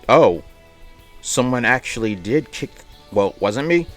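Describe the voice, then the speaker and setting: A man's voice: medium pitch, expressive, unhurried, soft background music. A man livestreaming, casually chatting with viewers and reading aloud in a rambling, playful way that often repeats phrases. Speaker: live streamer.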